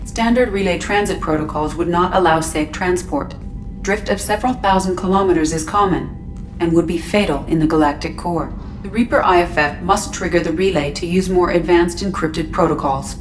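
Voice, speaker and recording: A woman's voice: lowish voice, moderate pace, distant mic, slight background noise, natural voice. A woman playing a composed, analytical ship computer in a video game, precise and detached. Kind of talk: scripted game dialogue.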